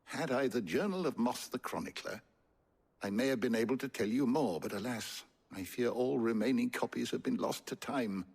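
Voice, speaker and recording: An actor playing an elderly, courteous scholar in a video game, speaking in formal, measured, wordy phrases with a gravelly, husky voice. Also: male voice, mid-range voice, a little mellow. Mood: wry, regretful. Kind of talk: dramatic video game acting.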